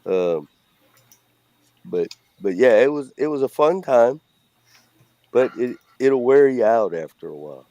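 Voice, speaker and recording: A man's voice: medium pitch, lively intonation, a little slow, pauses often, mellow, no room sound, highs cut off. A middle-aged man with a Cajun accent, chatting casually as a co-host in a blunt, rambling way. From Louisiana. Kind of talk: casual chat.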